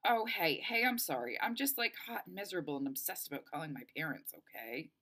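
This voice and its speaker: Woman doing a slangy stubborn teen girl from a storybook, sarcastic, frustrated, pitch jumps around. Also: expressive, a little fast, sharp tone, full character voice. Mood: apologetic. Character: determined, frustrated teenage girl with hurt ankle.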